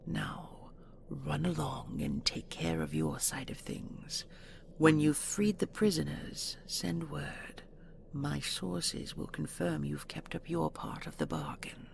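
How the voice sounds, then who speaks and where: A woman playing a regal, menacing dragon queen in an audio roleplay, speaking imperiously and deliberately with a growling edge. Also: low-pitched, animated, whispered, exaggerated voice. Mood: commanding. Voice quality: gravelly, growling. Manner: imperious, slow, deliberate, threatening.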